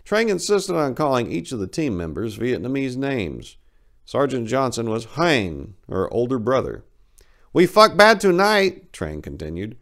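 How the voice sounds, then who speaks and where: A man's voice: medium pitch, sing-song, normal speed. A man narrating war fiction as a dramatic story reading.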